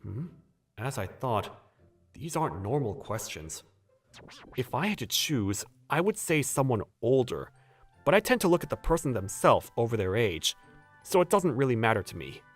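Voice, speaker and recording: A male actor plays a young salaryman in a manga dub, mid-pitched and expressive. He is earnest and put-upon, recounting his working life as a story, with faint music underneath. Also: moderate pace, lightly acted. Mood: puzzled. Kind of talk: manga dub narration and dialogue.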